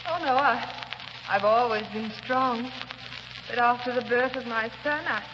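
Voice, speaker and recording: A fragile, faltering wife, as an actress plays her in a 1930s radio drama. Her voice is slightly high and unsteady. The muffled old broadcast hisses, with a long, echoing reverb. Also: a little slow, natural voice. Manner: hesitant, faltering. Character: frail, devoted invalid wife.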